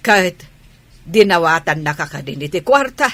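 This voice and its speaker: A woman playing a worried, scolding village mother, husky and with an Ilocano accent, in a comedy radio drama. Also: fairly low pitch, expressive, moderate pace, pushed and bright, dry recording.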